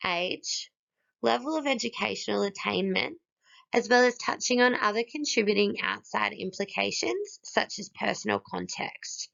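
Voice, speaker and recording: Hoarse, gravelly woman with a cold. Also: mid-range voice. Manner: steady, listing one item after another. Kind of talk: read-aloud presentation. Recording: dull lo-fi recording.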